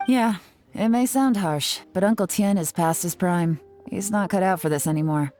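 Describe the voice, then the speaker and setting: A woman's voice: fairly low pitch, moderate pace, over music, close to an everyday voice. A woman voicing a cool, confident, shrewd investigator in a video game, composed and businesslike. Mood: matter-of-fact.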